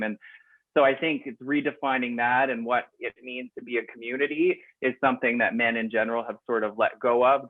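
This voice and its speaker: A man's voice: mid-range voice, dry recording. A man speaking as a panelist, a former radio host and comedian, who talks thoughtfully with some self-deprecation as he searches for words over a video call. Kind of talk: panel discussion. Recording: tinny phone-like video call audio.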